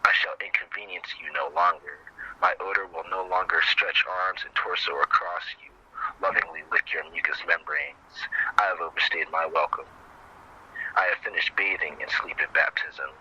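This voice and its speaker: A man with a very low, intensely forceful-edged voice recites spoken-word poetry as a guest poet. The recording is somewhat lo-fi. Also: fairly even intonation, normal speed. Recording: dull, lo-fi, faint background noise.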